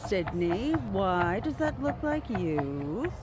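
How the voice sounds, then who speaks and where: A woman's voice: mid-range voice, sing-song, slow, noisy background. A woman acting a haughty, scornful, short-tempered boss in a comedy audio play. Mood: appalled, furious. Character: haughty, scornful female boss, quick to anger.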